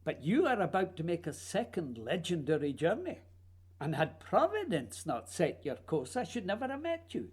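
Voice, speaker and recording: A man's voice: high-pitched, huge pitch swings, normal speed, slightly rough, heavily acted. A man playing a whimsical, childlike playwright in a radio play, musing and teasing in a theatrical way. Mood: earnest, teasing. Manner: theatrical, musing, playfully urging.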